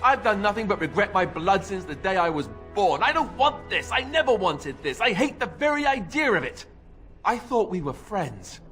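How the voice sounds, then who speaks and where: High-voiced, self-doubting young warrior king. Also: big pitch swings, fairly bright tone, soft background music. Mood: dismayed. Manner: halting, self-deprecating, earnest. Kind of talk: video game cutscene acting.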